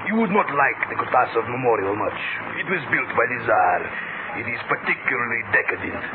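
Man playing mocking KGB officer, lively delivery. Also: mid-range voice, lightly acted. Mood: smug, sly. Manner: mock-courteous, deliberate phrasing. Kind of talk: 1970s radio thriller acting. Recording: muffled, very noisy old radio recording.

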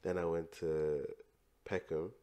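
A young man co-hosting a podcast, his voice very deep and mellow. He grew up in Dublin and is of Nigerian heritage. He talks in a loose, easy way with many filler phrases. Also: flat delivery, takes it slowly, clear, resonant tone. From grew up in Dublin, Ireland; Nigerian. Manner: laid-back, heavy on filler phrases. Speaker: podcast co-host. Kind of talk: casual chat.

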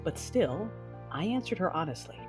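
A woman playing a proud, defiant Sith lord who narrates her own story in a science fiction audio drama, intense and deliberate. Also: fairly low pitch, big pitch swings, a little mellow, faint music behind. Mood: cool, wry narration.

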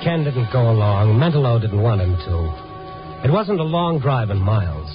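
An actor playing a probing newspaper reporter in a 1950s radio drama, urgent, coaxing and moody. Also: male voice, medium pitch, very animated, normal speed, lightly acted. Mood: moody narrating. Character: probing night-beat newspaper reporter, a grown man, narrating. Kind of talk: 1950s radio drama acting and first-person narration. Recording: muffled old radio broadcast, loud music behind.

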